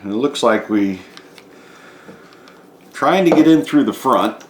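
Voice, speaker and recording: A man's voice: medium pitch, expressive, a little slow, heavy background noise. A man giving an unscripted, methodical step-by-step narration of a hands-on repair demonstration. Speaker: DIY repair presenter. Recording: echoey room.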